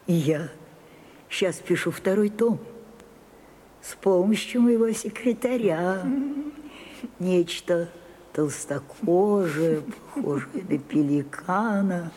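A woman's voice: lowish voice, warm tone, a little hiss or hum, low-quality recording. An older woman playing an elderly, imperious and wistful stage actress in a theatrical radio drama. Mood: grand, teasing. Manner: slow, deliberate, theatrical delivery.

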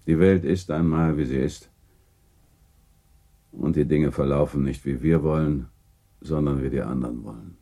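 Very deep, grave gentleman. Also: fairly even intonation. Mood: grave, resigned. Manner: measured, formal, resigned. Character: grave, courteous gentleman, confidant and second in a duel. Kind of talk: German radio drama acting.

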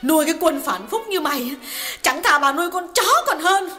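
A man voicing an enraged, betrayed young wife who scolds shrilly and cries as she shouts. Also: very high voice, expressive, a little fast, voice pushed up, heavily acted. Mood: furious. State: crying.

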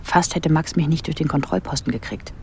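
An actress playing an observant, quietly uneasy young woman, speaking in a hushed, reflective inner monologue for a radio play. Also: low-pitched, fairly quick, vocal fry, slight background noise. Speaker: protagonist and inner narrator. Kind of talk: intimate radio drama monologue.

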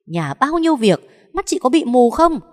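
A woman's voice: sits high, very animated, normal speed, darkish tone, long reverb tail, pitched above the performer's own voice. A woman voicing a spiteful older mother-in-law in a storybook, screeching and scolding. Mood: shrill scolding.

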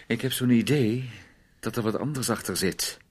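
A man's voice: medium pitch, normal speed, slightly rough, lightly acted. An actor in a radio drama playing a suspicious, mocking man who needles people with sarcasm. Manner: sarcastic, taunting.

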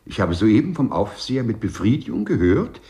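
A courteous, eccentric prisoner in 1950s radio drama style. His fairly low voice is extremely animated, precise and formal, yet full of rapt, serene pride. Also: fairly quick. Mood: courteous, earnest. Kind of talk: 1950s German radio play acting.

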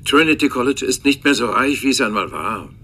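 An actor playing a resentful, cornered college professor in a radio drama phone call, speaking formally and bitterly. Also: male voice, medium pitch, lively intonation, brisk, sharp tone, breathy edge, full character voice. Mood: resigned, bitter.

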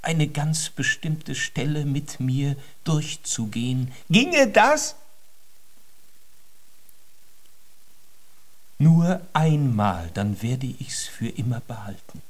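Slow-spoken earnest young musician. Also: male voice, medium pitch, lively intonation. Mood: pleading. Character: earnest, deferential young musician in his twenties. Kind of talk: German radio drama acting.

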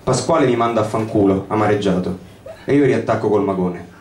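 A man reading a first-person literary story in an expressive, dramatic, bitter and brooding way. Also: fairly low pitch, fairly quick. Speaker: reader of a short story. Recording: roomy live reading, distant mic, guitar behind. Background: acoustic guitar accompaniment.

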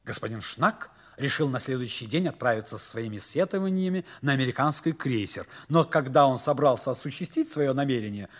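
A man's voice: fairly high pitch, husky. A man giving dramatic, mock-solemn scripted narration for a 1960s Soviet radio play. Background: music.

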